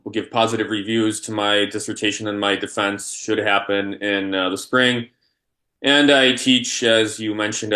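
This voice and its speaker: Bright, forceful-voiced man answering haltingly. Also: on the low side. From Polish-born, raised in the United States. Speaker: interview guest, history scholar and university teacher. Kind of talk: conversational interview answers.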